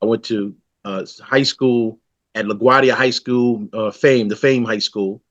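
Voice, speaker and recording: A man's voice: lowish voice, fairly even intonation, unhurried, a bit bright, dry recording. Friendly man hosting, dry dull sound. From the Bronx, New York City. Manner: friendly, loose and rambling questions. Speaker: newspaper publisher and host. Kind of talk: community interview hosting.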